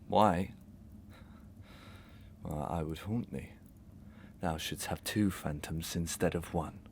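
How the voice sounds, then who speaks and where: A man plays a guilt-racked, defiant gentleman villain of Victorian melodrama. His low voice moves slowly and deliberately through archaic, theatrical phrases, with faint noise behind him. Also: vocal fry, full character voice. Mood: sinister, taunting. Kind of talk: Victorian stage melodrama acting in an audio drama.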